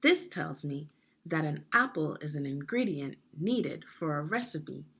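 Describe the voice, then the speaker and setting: Slow, expressive woman reading to children. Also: low voice, slightly rough. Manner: instructive, deliberate phrasing, prompting questions. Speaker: reader of a children's instructional book, teacher. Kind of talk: read-aloud guided reading lesson.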